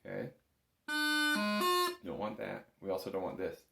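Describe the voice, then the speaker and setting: Guy with a low gravelly voice patiently walking thru an instrument lesson, kinda echoey room. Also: steady tone, normal speed, loud music behind the voice. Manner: patient, careful explaining. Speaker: music instructor. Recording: roomy, echoing room sound.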